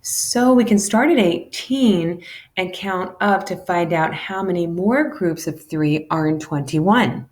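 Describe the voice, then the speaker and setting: A woman giving an animated, deliberate read-aloud of a children's educational storybook, shifting between character lines. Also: lowish voice. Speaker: teacher reading a children's math book aloud.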